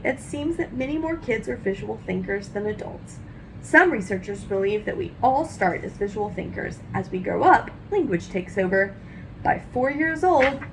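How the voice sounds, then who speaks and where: Lively high-voiced woman reading aloud. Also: gravelly, noisy background. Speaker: read-aloud host. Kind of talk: expressive read-aloud of a nonfiction book for children.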